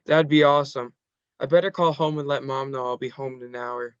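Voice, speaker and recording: A man's voice: medium pitch, steady tone, a little fast, smooth, no room sound, close to an everyday voice. Cautious teenage boy, read by a man, muffled sound. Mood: friendly. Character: cautious, loyal fourteen-year-old boy, Gerald. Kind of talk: table read of a script.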